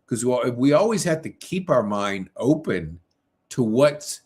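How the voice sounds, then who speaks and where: Man, interview host, average pitch, conversational, halting, emphatic phrasing. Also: big pitch swings, a little mellow, slightly rough.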